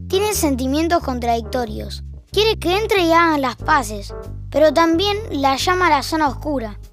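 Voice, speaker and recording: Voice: high voice, animated, normal speed, fairly bright tone. A young-sounding performer with an Argentine accent, narrating a scripted audio drama as a wry storyteller. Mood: measured, wry. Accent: Argentine Rioplatense. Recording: music behind the narration.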